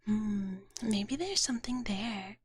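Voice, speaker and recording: A woman whispering softly and breathily in a gentle, doting big-sister manner, chatting as an ASMR livestreamer close to the microphone and often repeating her words. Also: medium pitch, takes it slowly, breathy edge, dry recording. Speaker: ASMR streamer. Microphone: close microphone, dry sound.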